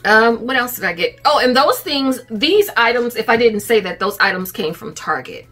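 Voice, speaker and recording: A woman's voice: on the high side, animated, moderate pace, sharp tone, faint music behind. A middle-aged woman chatting casually to camera as a vlogger, recorded indoors in a room at home. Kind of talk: casual shopping haul chat to camera.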